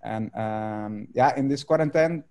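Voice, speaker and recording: A Dutch man in his thirties speaks English over a video call from a room at home. His voice is mellow and dark, and he talks haltingly, filling gaps with ums and restarting phrases. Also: fairly low pitch. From Netherlands. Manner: hesitant, many fillers and restarts. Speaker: artist guest.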